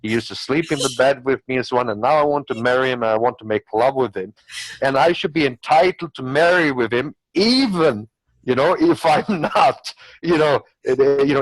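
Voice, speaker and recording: A man's voice: mid-range voice, expressive, moderate pace, no room sound, rough recording. Rambling man, non-native English speaker. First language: not English. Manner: rambling, repetitive argument with hypothetical examples. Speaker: panelist. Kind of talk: unscripted opinionated discussion.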